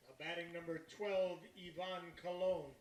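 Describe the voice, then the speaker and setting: Man, ballpark PA announcer, high voice, distant and roomy, faint hiss behind. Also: little pitch movement. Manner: slow, formal announcing. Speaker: stadium public-address announcer. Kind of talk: announcing batters. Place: outdoor ball field. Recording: distant echoey field PA.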